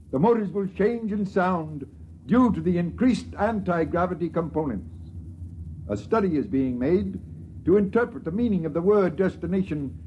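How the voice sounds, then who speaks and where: Solemn ship-scripture voice played by a man, measured recitation, somewhat high. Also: dark tone, a little hiss or hum, lightly acted. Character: solemn male voice reading the ship's holy book. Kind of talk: 1950s radio drama acting.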